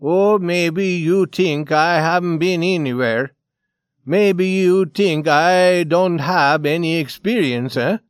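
Guy reading a story as a scared paranoid man, high agitated voice, pleading, kinda desperate. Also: takes it slowly, clean tone, dry recording, studio quality. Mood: false bravado. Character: nervous, frightened Swedish man, suspicious and desperate.

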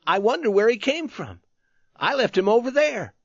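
A man voicing a young soldier who speaks in a high, strained, urgent way. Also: very animated, moderate pace, creaky, no room sound, highs cut off, exaggerated voice. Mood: bewildered. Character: young male soldier, frantic and pleading.

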